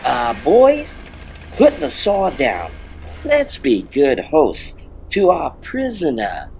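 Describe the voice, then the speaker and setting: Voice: medium pitch, huge pitch swings, slow, vocal fry, darkish tone, faint music behind, exaggerated voice. A performer voicing a fawning, politely hesitant henchman in a comedic audio drama. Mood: gently chiding.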